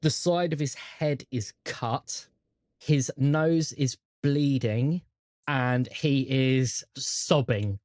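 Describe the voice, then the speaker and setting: A game master narrating a tabletop roleplaying game in measured, descriptive, halting phrases. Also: mid-range voice, darkish tone, dry recording, clean studio sound.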